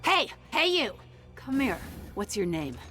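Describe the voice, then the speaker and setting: A woman's voice: on the high side, very animated, a little slow, over music. An actress playing a ruthless, menacing female alien agent in a video game, commanding and threatening. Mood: angry, urgent.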